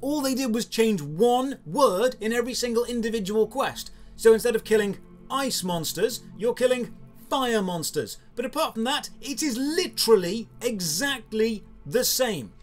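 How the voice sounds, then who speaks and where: High-pitched sardonic British man reviewing games. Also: lively intonation, normal speed, soft background music. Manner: sardonic, critical. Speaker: video game reviewer. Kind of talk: scripted review narration. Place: small room lined with game shelves.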